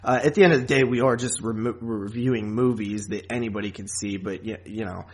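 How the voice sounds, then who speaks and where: A man hosting a podcast in a casual, rambling, halting chat style with frequent asides. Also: lowish voice, fairly even intonation. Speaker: podcast host.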